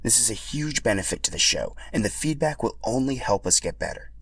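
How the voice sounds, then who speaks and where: Low-voiced man narrating, dry close sound. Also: expressive, fairly quick, creaky, a bit bright, breathy edge, no room sound. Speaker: podcast host and storyteller. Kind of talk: scripted narration.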